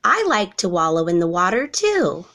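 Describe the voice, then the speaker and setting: Storybook young boy elephant, played by a woman: small, lonely, earnest, with animated, childlike delivery. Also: on the low side, moderate pace, heavily acted. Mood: hopeful. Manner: earnest, childlike pleading and wondering.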